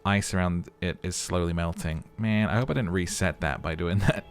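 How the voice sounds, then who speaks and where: Guy doing a let's play, really deep voice, reads the text then chats. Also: moderate pace, soft background music. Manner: chatty, musing aloud between narrated passages. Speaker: gaming commentator. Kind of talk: let's play commentary and reading game text.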